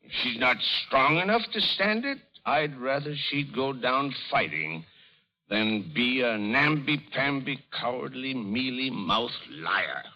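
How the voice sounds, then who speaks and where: A man's voice: mid-range voice, expressive, takes it slowly. Theatrical, proud middle-aged father. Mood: impassioned, defiant. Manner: declamatory, oratorical. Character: doting, defiant, freethinking father, proud and theatrical. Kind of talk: old-time American radio drama acting. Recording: dull, lo-fi vintage radio recording.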